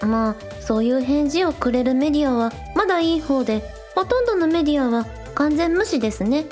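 A woman's voice: sits high, expressive, fairly quick, darkish tone, soft background music. A woman voicing a spirited, earnest young shrine maiden and village idol in a radio drama. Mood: frustrated, resolute.

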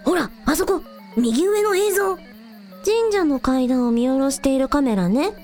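ラジオドラマで、率直で面倒見のよい元気な若いアイドルの女の子を演じる女性。. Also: female voice, sits high, lively intonation, measured pace, a little mellow, soft background music. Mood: curious. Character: energetic young village idol girl, frank and caring. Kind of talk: amateur anime-like radio drama acting.

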